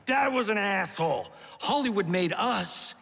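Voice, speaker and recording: A man's voice: high-pitched, lively intonation, a little slow, creaky, background noise, full character voice. An actor playing a cocky, wisecracking middle-aged male action-movie star with a sarcastic, swaggering delivery in a video game cutscene. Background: game soundtrack music.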